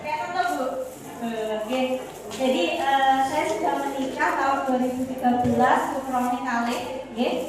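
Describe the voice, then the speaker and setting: Javanese woman in her 30s, high voice, chatty health talk, keeps asking the crowd stuff, far off in a big echoey hall. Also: moderate pace. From Wonogiri, Central Java, Indonesia. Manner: chatty, asks the audience questions. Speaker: health educator at a community health center. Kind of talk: friendly health talk to elderly group.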